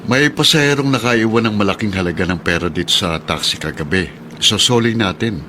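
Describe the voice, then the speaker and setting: A man's voice: on the low side, a little fast, natural voice. A man playing an honest, reassuring taxi driver and father figure in a natural radio-drama style. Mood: calm, reassuring. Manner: reassuring, calm. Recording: clear vehicle noise behind voice. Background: vehicle ambience.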